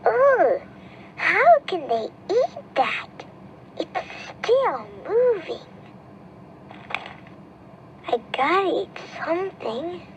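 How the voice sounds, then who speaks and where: Tiny, very high-pitched baby bat girl. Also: sing-song, extremely slow, long pauses, slight background noise, exaggerated voice, low-quality recording. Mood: disgusted, hungry. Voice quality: small, childlike, squeaky. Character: timid, eager little baby fruit bat girl. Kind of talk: children's storybook read-along.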